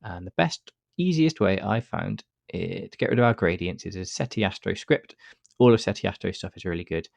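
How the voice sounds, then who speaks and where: A man with a cold and a stuffy nose, calmly talking through a software tutorial step by step with frequent uh fillers. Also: deep voice, a little fast, creaky, no echo. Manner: relaxed step-by-step explaining with many uh fillers. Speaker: astrophotography tutor. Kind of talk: screen-recorded software tutorial.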